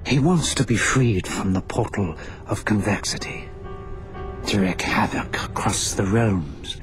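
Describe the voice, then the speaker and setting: An actor playing a wise old male dragon mentor, solemn and regretful, telling his tale in measured phrases for a video game. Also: on the low side, over music, natural voice. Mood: grave. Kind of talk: dramatic video game cutscene narration.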